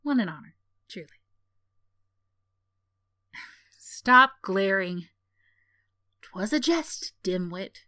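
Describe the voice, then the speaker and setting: A woman's voice: mid-range voice, lively intonation, extremely slow, long pauses, sharp tone, dry recording, studio quality, heavily acted. A woman playing a sardonic tsundere villain in a roleplay ASMR, teasing and condescending with theatrical sighs and scoffs, yet secretly caring. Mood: mocking, menacing.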